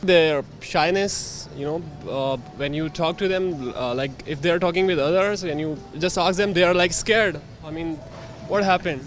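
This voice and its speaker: A man's voice: on the high side, normal speed. A man answering street interview questions hesitantly, with many fillers. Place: outdoors on a city street.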